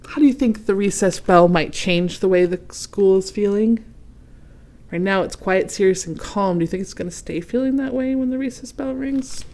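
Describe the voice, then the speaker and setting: Lady doing a kids picture book read aloud, expressive, stops to ask questions n comment. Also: lowish voice, a little hiss or hum. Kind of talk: children's storybook read-aloud with questions to young listeners.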